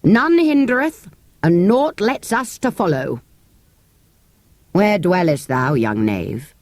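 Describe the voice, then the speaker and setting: A woman voicing a gruff, haughty medieval man-at-arms in a storybook, with pompous, declamatory delivery. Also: lowish voice, expressive, slow, a little mellow, no echo. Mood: stern.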